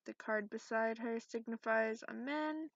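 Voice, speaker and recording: A woman plays an ancient, cranky dragon in an ASMR roleplay, speaking slowly in a fairly high voice. The delivery is soft, haughty and sarcastic, with grudging manners. The recording is dull and lo-fi. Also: dry recording. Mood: measured reading. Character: cranky sarcastic centuries-old dragon who looks young, reading tarot. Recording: dull lo-fi microphone sound.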